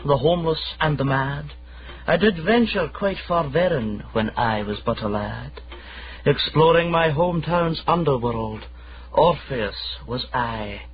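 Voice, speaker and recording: An actor playing a Scottish poet reciting dramatic, brooding verse in a radio drama. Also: male voice, mid-range voice, lightly acted. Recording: muffled radio recording under music.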